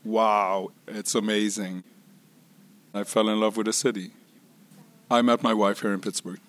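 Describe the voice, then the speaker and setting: A man born in Israel and raised in Curacao recounts his life at an unhurried, reflective pace. His voice sits a little low, and he talks like someone sharing memories in a personal interview. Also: little pitch movement, fairly bright tone. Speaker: tavern owner. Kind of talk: personal life-story monologue.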